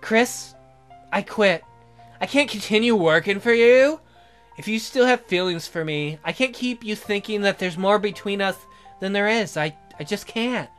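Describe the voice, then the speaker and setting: A man's voice: high voice, lively intonation, a little slow, fairly bright tone, faint music behind. A man voicing a tender, guilt-ridden young woman from a romance visual novel, speaking in an earnest, pleading way. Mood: resolute, sad.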